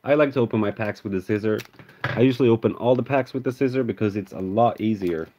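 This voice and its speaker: A low-voiced man from Sweden who speaks with a Swedish accent that leans American. He chats casually on a live stream, greets viewers and names cards as he pulls them. The sound is dull and lo-fi, from a desk mic. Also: fast talker, mellow. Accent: Swedish, American-tinged. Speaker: card-opening streamer. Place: indoor room with card display.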